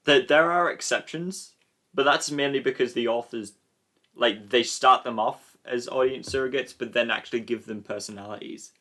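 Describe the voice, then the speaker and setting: A man's voice: mid-range voice, moderate pace, sharp tone. A man casually commentating on a film as one of a joking group of hosts. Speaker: host of a film commentary show.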